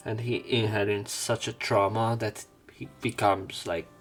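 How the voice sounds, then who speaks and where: A man's voice: fairly low pitch, flat delivery, a little slow. A man hosting a visual novel let's play, reading the text aloud with casual asides and occasional self-corrections over game music.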